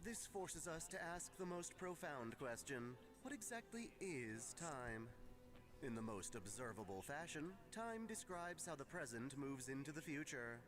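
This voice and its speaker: A man narrating a scripted lore lesson in a measured, formal lecturing manner. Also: a little high, sing-song. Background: music.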